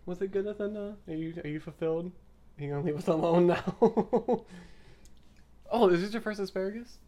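Giggly young woman with a low voice. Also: fairly quick, mellow. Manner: giggly, teasing, talks to her cat. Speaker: podcast co-host. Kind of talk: casual chat.

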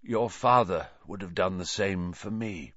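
A gaunt, sinister fugitive wizard, acted out by a male narrator in a storybook reading. The voice is hoarse, low and quiet, drawing out its threats slowly. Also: no room sound, dull audio, exaggerated voice. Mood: taunting. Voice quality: hoarse, croaky. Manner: slow, quiet menace.

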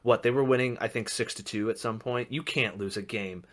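A man's voice: medium pitch, fairly even intonation, brisk. A young man co-hosting a casual podcast, chatty and joking, with plenty of fillers. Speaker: podcast co-host. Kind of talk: casual chat.

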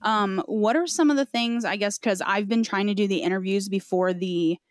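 A woman's voice: mid-range voice, moderate pace, no room sound, studio quality. A woman hosting a podcast and interviewing in a casual, chatty style. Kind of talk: casual chat.

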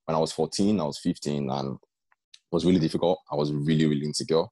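A young man with a very deep voice, telling personal stories in an earnest, conversational way and often asking "do you know what I mean". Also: fairly even intonation, fast, mellow, no room sound. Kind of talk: casual group chat.

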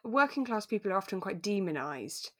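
A woman's voice: medium pitch, sing-song, a little fast, a little hiss or hum. A woman co-hosting a podcast in casual, conversational chat, often acting out little imagined remarks. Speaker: podcast co-host.

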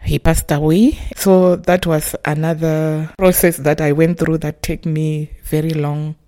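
A middle-aged woman from Zimbabwe with a Zimbabwean accent, telling her life story in an interview in a soft, halting, reflective way. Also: deep voice, level tone. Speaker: children's home caregiver.

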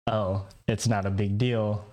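A man's voice: fairly low pitch, flat delivery, a little slow, a little mellow. A man co-hosting a casual podcast, talking in a hesitant way with frequent fillers and laughs. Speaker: podcast co-host.

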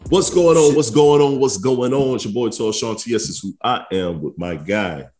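A young man co-hosting a casual podcast, sounding stuffy and congested, laughing through crude banter and clearing his throat often. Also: mid-range voice, normal speed, a little mellow, faint music behind. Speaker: podcast co-host. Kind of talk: casual chat.

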